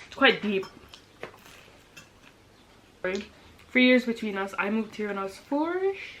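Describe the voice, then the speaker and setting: A woman's voice: mid-range voice, big pitch swings, unhurried, a bit bright, a little hiss or hum. A young woman chatting casually while eating, rambling with lots of "um" and "like" fillers. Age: young adult. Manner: rambling, many "um", "like", "kind of" fillers. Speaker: vlogger, older sister.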